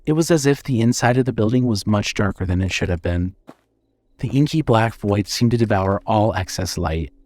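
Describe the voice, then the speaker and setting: A man narrating a scripted horror story in a measured, suspenseful way. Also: on the low side, expressive, a little mellow.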